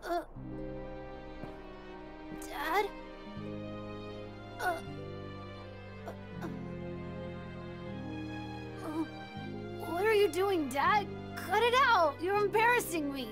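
An earnest little boy, with a very high, swooping voice. The delivery is childlike and emphatic, in anime game style, with faint music behind. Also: expressive, extremely slow, pauses often, exaggerated voice. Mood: confused, embarrassed. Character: earnest, excitable young boy, devoted son. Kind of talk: anime video game dub acting.

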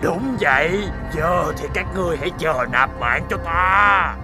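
A man's voice: on the high side, measured pace. A man voicing a menacing, theatrical villain who casts spells in a children's cartoon. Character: gloating male villain casting magic spells. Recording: loud music over voice.

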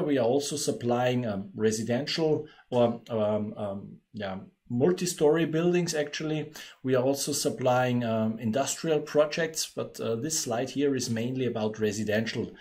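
A man's voice: medium pitch, darkish tone. Austrian-accented man presenting a webinar. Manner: explanatory, many fillers and restarts.

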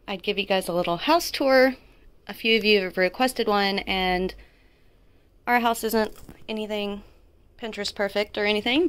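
A woman's voice: mid-range voice, clear, resonant tone. Chatty woman giving a home tour. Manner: chatty, laughs often, self-deprecating asides. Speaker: vlogger and homeschooling mom. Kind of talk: casual house tour narration. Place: small home, indoors.

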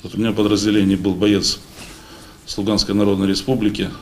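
A man in his forties giving a halting, informal speech at a meeting, pausing often. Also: lowish voice, level tone. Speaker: paratrooper unit member addressing a meeting. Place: meeting hall at a lectern. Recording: noisy room recording.

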